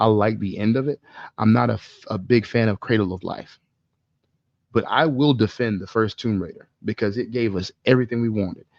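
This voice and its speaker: Male co-host, low gravelly voice, opinionated casual chat, free swearing, dull dry recording. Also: darkish tone, no echo. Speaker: podcast co-host. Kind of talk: casual chat about video game movies.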